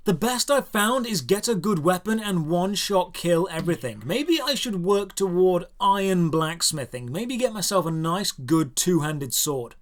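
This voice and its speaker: A man's voice: sits high, expressive, moderate pace, hardly any pauses. Dry, sarcastic man reviewing a game. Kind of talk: scripted review narration over gameplay.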